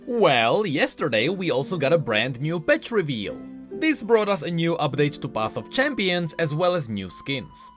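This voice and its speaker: A man hosting a gaming news show, reading scripted narration in an emphatic way with stretched, swooping stresses. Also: a little high. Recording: music playing behind the voice. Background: background music.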